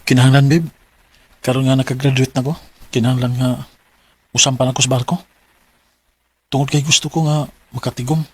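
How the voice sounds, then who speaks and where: Radio drama casting: actor as husky young sweetheart, earnest and devoted, Cebuano accent. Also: male voice, mid-range voice, level tone, fairly quick, pauses often, close to an everyday voice. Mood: earnest, tender. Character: earnest young male graduate, devoted boyfriend.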